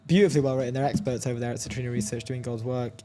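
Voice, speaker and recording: A man co-hosting a casual talk show, animated and laughing often, reading out quotes. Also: medium pitch, racing, noisy background. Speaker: co-host. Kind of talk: casual chat.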